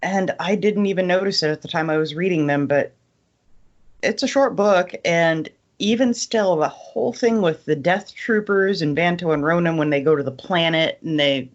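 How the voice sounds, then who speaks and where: A woman's voice: low voice, lo-fi sound. A woman talking casually about books as a podcast panelist. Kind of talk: casual book discussion.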